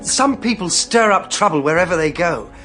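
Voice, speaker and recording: Agitated, higher-pitched British fiancé. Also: male voice, expressive, moderate pace, fairly bright tone, soft background music. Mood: alarmed. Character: hot-tempered, agitated British fiancé, indignant and fretful. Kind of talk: radio drama acting.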